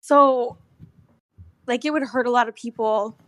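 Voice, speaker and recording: Higher-voiced woman chatting and storytelling casually. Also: fairly even intonation, measured pace, dry recording. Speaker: guest, costumed theme park character performer. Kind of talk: casual chat, storytelling with swearing. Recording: amateur recording with bad audio.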